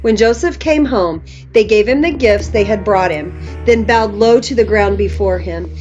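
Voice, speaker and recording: A woman's voice: mid-range voice, normal speed, a little mellow, muffled recording. A woman narrator reading scripture aloud as dramatic scripted storytelling. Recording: music behind the voice.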